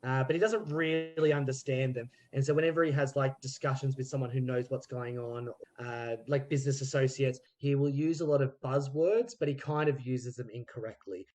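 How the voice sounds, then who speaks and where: A man's voice: medium pitch, steady tone, a little mellow. A man explaining things casually as a podcast guest, often correcting himself and restarting as he thinks aloud. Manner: halting, self-correcting, acts out examples. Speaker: podcast guest, amateur actor.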